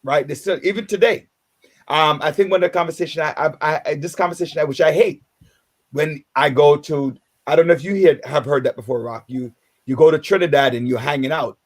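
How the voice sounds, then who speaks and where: A man in his fifties from Jamaica with a Jamaican accent, hosting a radio talk show in a halting way, with many restarts and filler words. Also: a little high. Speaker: radio host.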